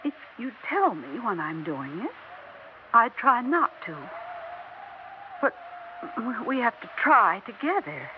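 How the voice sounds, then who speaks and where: An actress playing an anxious older wife and mother in a 1960s radio drama, slow and pleading. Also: fairly high pitch, sing-song, slight background noise. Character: anxious elderly widow Agatha, bitter yet pleading. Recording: dull vintage radio recording.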